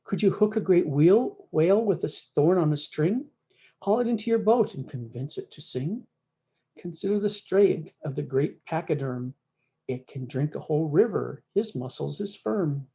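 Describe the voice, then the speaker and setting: A woman's voice: sits low, expressive, normal speed, warm tone, highs cut off. A woman voicing a mighty, stern cowboy boss God in a storybook, putting commanding questions in folksy rhyme. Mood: stern, challenging.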